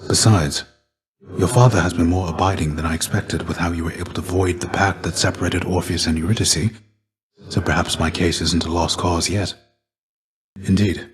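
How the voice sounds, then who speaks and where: An actor voicing a stoic, weary dead Greek warrior and fatherly mentor in a video game, speaking in a measured, formal, restrained and gently grave way. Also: low voice, fairly even intonation, lightly acted. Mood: earnest, hopeful. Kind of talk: video game character dialogue.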